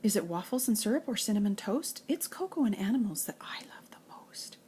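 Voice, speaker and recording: An older woman, a grandmother, reading nursery rhymes and children's poems aloud in an expressive, playful sing-song way. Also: mid-range voice, fairly quick, slight background noise.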